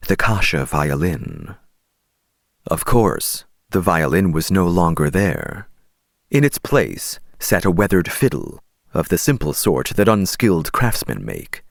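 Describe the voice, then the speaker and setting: Low-voiced male storyteller with animated delivery. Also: no room sound, clean studio sound. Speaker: audiobook narrator. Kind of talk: scripted dramatic storytelling narration.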